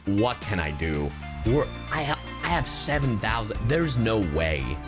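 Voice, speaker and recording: A man live streaming a game, chatting casually in a slangy, sweary, expressive and excitable way. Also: on the low side, measured pace, over music. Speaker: livestreamer.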